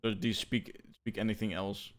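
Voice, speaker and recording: Halting man with a low voice. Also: flat delivery, slight background noise. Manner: halting, restarts words often. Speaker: podcast co-host. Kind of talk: casual chat.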